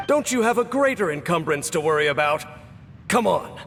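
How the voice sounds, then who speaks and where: A man voicing a grave, reserved swordsman captain in a video game, measured and commanding. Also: fairly high pitch, expressive, background noise. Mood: urgent. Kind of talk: video game cutscene voice acting. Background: game score.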